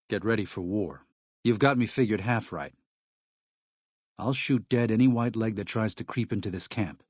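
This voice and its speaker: A man voicing an earnest, weary missionary medic in a video game, speaking in a measured, solemn, plain-spoken way. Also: on the low side, no echo, clean studio sound. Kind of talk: video game character dialogue.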